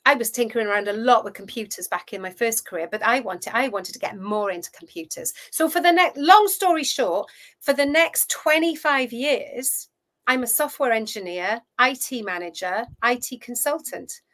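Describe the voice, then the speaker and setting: Welsh woman, 50s, interview guest; slightly high, lively storyteller voice. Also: normal speed. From Wales. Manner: chatty, animated storyteller with frequent restarts. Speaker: guest, food relationship coach. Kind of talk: casual interview chat.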